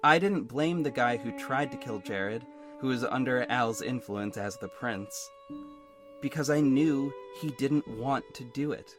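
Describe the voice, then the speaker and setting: A man's voice: medium pitch, unhurried, lightly acted. A man plays a young superhero narrating an audio drama, confiding and a little sardonic. He broods frankly over his own story, with music underneath. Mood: brooding, reflective. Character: young adult male superhero narrator, hurt, introspective, sardonic. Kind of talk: first-person audio drama narration with dialogue. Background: instrumental music.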